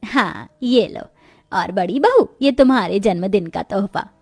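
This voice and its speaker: A woman's voice: medium pitch, expressive, measured pace, no echo. A woman playing a firm, fair-minded middle-aged mother-in-law in a cartoon moral story, with a commanding, matronly manner. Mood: welcoming.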